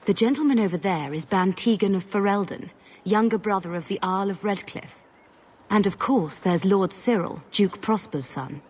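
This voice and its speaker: A woman's voice: mid-range voice, normal speed, darkish tone, breathy edge, natural voice. A woman voicing a poised, gossipy society guest in a video game cutscene. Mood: amused discretion.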